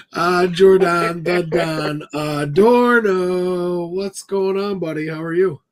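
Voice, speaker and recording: A man's voice: sits high, steady tone, slow pace. A man hosting a casual live podcast, joking with his co-hosts while losing his voice from a cold. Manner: jokey, upbeat hosting. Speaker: podcast host.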